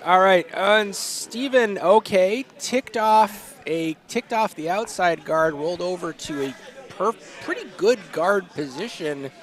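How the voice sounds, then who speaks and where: A man's voice: high voice, expressive, measured pace. A man from New England doing casual, wry play-by-play commentary on a curling match. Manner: chatty, wry, stumbles over names.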